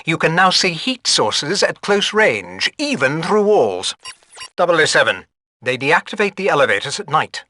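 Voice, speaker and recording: Bright-voiced, fussy British spy gadget master. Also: male voice, fairly high pitch, sing-song, normal speed, no echo. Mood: brisk, instructive. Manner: formal, clipped, dryly exasperated. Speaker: mission support quartermaster. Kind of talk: video game mission briefing voice acting.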